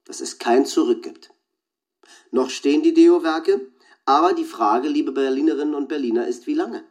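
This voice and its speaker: An actor playing a sensationalist tabloid columnist delivering a pompous, rhetorical scandal report in a radio drama. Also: male voice, fairly high pitch, normal speed, close to an everyday voice. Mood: pompous, insinuating.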